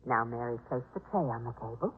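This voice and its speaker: A woman playing a kind, soft-hearted wife and mistress of a household in a 1950s radio drama, gentle and eager to placate. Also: extremely low pitch, lively intonation, quick pace. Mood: instructing, kindly. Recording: narrow-band, faintly noisy 1950s radio recording.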